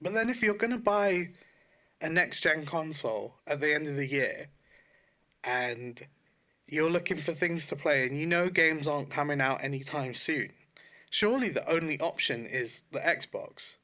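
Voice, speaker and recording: A man with a fairly high, very bright voice talks in a casual podcast style. He is informal and loose-tongued, often rephrasing himself as he makes his point. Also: moderate pace. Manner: informal, sweary, self-correcting. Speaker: podcast co-host. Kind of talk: casual opinionated gaming podcast chat.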